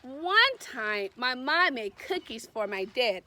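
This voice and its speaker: A woman's voice: a little high, expressive, slow pace, bright, forceful tone, pitched below the performer's own voice, full character voice. A woman voicing a boastful, playful little fairy girl from a picture book, with childlike, theatrical emphasis. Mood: matter-of-fact, boastful. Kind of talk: picture-book read-aloud.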